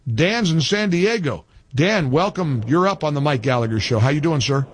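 A man's voice: fairly high pitch, lively intonation, normal speed, slightly rough. A male talk radio host speaking combatively, often repeating phrases for emphasis.

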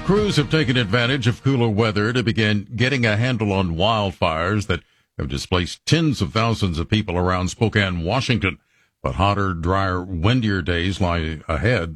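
Male radio newsreader, lower pitch, formal delivery, dry sound. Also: no room sound. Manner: crisp, formal news delivery. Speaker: newscaster. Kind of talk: radio news read.

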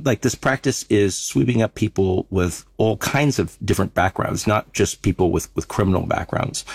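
A male reporter with a low, gravelly voice explains a story in a measured way. The sound is close and dry, with no room echo. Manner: measured, explanatory, with small restarts. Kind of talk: explanatory news conversation.

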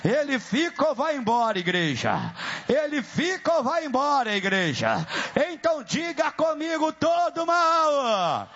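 A man with a Brazilian accent preaching a fervent deliverance sermon, shouting commands and repeating chants as he leads an exorcism. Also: extremely high pitch, huge pitch swings, unhurried. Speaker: evangelical preacher leading an exorcism. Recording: dull lo-fi recording.

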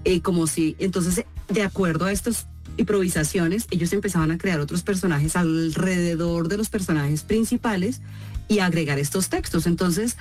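A Colombian woman with a Colombian accent, an actress answering interview questions in an expressive, storytelling way. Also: fairly low pitch, moderate pace, soft background music. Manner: expressive, storytelling with acted quotes. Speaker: actress guest.

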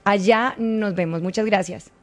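A woman from Colombia hosting an interview, asking questions in a friendly way and closing the segment smoothly. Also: mid-range voice, unhurried. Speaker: host.

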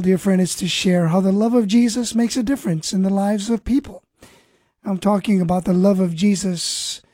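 A woman hosting a faith radio program, introducing and interviewing a guest in an earnest, gently devotional way. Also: medium pitch, no room sound. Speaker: host.

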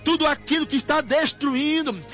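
A man's voice: very high-pitched, moderate pace, a bit bright. A Brazilian man preaching in fervent, pleading prayer, repeating his invocations as he goes. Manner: impassioned, pleading, repetitive invocations. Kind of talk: fervent extemporaneous intercessory prayer. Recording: muffled lo-fi audio with music behind. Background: music under the voice.

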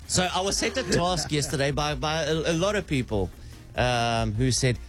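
A middle-aged man with a South African accent spins an animated story on morning radio. He keeps restarting his sentences as he talks into a studio microphone, with faint music running underneath. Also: on the high side, normal speed. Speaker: radio show host, prank caller. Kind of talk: casual breakfast radio banter.

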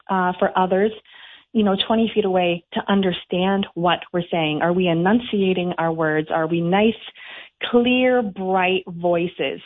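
A woman from Canada speaks over a thin, tinny phone line. She explains things in a clear, steady, enunciated way, at a middle pitch. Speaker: town crier guild president, interview guest. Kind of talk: phone interview on radio.

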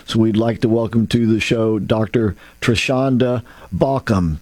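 A man's voice: on the low side, unhurried, a little mellow, no room sound. Rambling, folksy talk show host man. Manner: rambling, folksy, many restarts and asides. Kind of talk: casual radio talk show.

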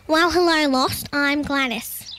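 A woman's voice: high-pitched, fairly even intonation, takes it slowly, noisy background, voice pushed up. A woman voicing a determined, folksy female emu in a children's story, with an Australian accent and a blustery, emphatic manner. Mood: decisive.

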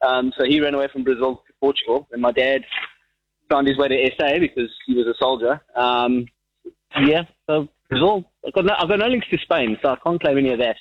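A man's voice: mid-range voice, a little fast, slight background noise. A South African man calling in to a radio show, chatting casually with many restarts while out walking his dog. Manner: rambling, many restarts and fillers. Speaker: caller. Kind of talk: casual phone-in chat. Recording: muffled phone line.

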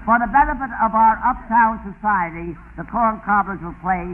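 A raspy-voiced American man hosting a 1940s radio comedy quiz as an exasperated, insulting straight man. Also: sits high, moderate pace, a little hiss or hum. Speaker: comedy quiz show host. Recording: tinny 1940s radio broadcast recording.

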